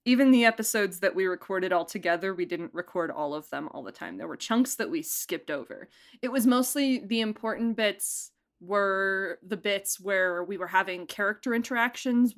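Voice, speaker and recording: A woman chatting casually and effusively as a podcast guest, talking as a producer and director and often breaking into laughter. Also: mid-range voice. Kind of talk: casual behind-the-scenes interview chat.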